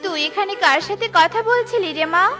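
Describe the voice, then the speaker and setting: A woman's voice: very high-pitched, a little fast, pushed and bright, faint music behind. A woman voicing a caring, resolute mother bird in a children's cartoon, earnest and motherly. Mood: caring, determined.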